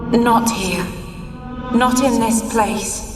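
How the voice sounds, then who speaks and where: Game cutscene: mournful spectral wife, drawn-out hushed delivery, long hall echo. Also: female voice, on the high side. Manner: slow, wistful, hushed. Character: sorrowful young noblewoman's ghost. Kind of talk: video game cutscene voice acting. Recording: echoey, distant, with music.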